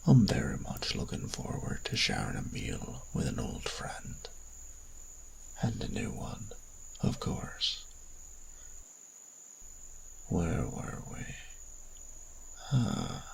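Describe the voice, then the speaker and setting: An extremely low, crackling male creature of darkness, from a fantasy audio drama. He speaks slowly and with arch, teasing politeness, sliding into breathy whispers with a quietly sinister edge. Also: wildly swooping pitch, full character voice. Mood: teasing, coaxing. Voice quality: very deep, gravelly, breathy near-whisper. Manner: formal, measured, archly polite, teasing. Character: sinister, courtly, teasing dark magical being, male. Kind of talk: fantasy audio roleplay acting.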